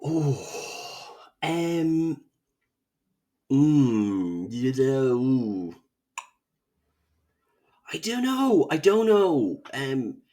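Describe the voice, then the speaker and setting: A man chatting casually as a podcast guest, animated and playfully dramatic. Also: medium pitch. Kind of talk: casual interview chat.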